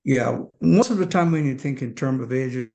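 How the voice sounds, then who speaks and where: Man, 70s, Barbadian accent, gravelly voice, calm reflective storytelling. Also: mid-range voice. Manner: calm, measured, reflective with hesitant restarts. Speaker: guest.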